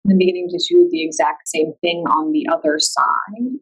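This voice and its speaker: Husky, lowish-voiced woman guiding meditation. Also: poor audio. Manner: calm, soothing, unhurried instruction with long pauses. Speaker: yoga nidra meditation guide. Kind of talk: guided meditation.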